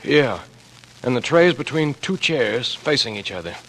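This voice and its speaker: Animated, anxious young American amateur sleuth. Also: male voice, mid-range voice, unhurried. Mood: intent, explaining. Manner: terse, clipped deductive phrases. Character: anxious young man turned amateur sleuth, earnest and observant. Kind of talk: 1940s radio acting. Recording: noisy old radio drama recording.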